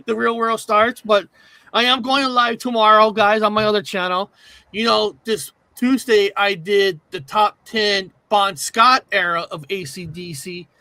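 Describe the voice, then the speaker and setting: Co-host, male, high and bright, loose rambling delivery, dry sound. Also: no echo. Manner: rambling, repeats filler words. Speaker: co-host of a paranormal talk show. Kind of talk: casual live-stream chat.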